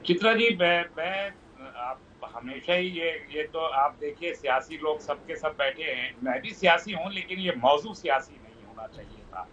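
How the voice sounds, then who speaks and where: A man with a high voice speaks on a muffled remote feed. He is a measured debate panelist who repeats points for emphasis. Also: lively intonation, fairly bright tone. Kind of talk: live TV debate commentary.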